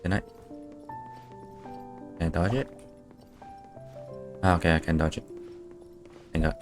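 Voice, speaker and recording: Low, slow-talking male game streamer. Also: fairly even intonation, over music. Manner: muttering to himself, sweary. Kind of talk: casual, reactive gameplay commentary.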